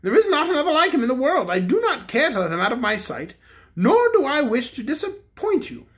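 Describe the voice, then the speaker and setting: A woman doing a calm, stately toy bear king. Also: high voice, voice pushed up. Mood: calm regal. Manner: measured and reflective, slightly grand.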